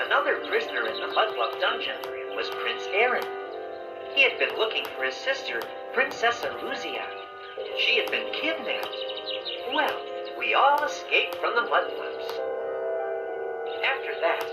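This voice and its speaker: Lively, gentle storybook narrator. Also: male voice, high-pitched, full character voice. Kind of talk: scripted children's story narration. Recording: roomy, distant toy playback with music.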